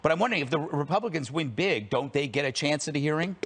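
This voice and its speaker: A man's voice: a little high, expressive, fast talker, a bit bright. An American man debating politics on a TV panel, with a wisecracking, argumentative way of talking. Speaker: panelist on a TV political talk show.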